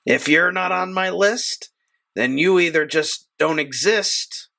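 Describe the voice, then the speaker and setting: A man voicing a sinister, gloating Santa Claus villain in a theatrical, taunting, drawn-out way for an audio drama. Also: high-pitched, gravelly, bright, forceful tone, heavily acted. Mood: smug, taunting. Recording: echoey room, distant from mic.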